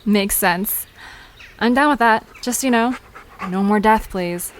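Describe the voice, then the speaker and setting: A woman's voice: mid-range voice, takes it slowly, slight background noise, natural voice. A woman playing a curious, teasing young park visitor in an audio drama, chatting in a casual, playful way. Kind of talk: conversational audio drama acting.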